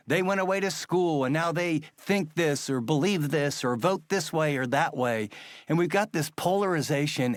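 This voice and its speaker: A man's voice: a little high, no room sound, studio quality. A man hosting a conversational religious interview, speaking in an earnest, reflective way. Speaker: interview host.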